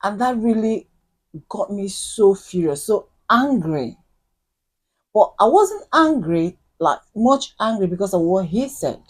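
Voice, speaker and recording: A woman's voice: medium pitch, very animated, unhurried. A woman guest in a casual talk, speaking earnestly and acting out her own thoughts as she tells stories. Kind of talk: casual chat.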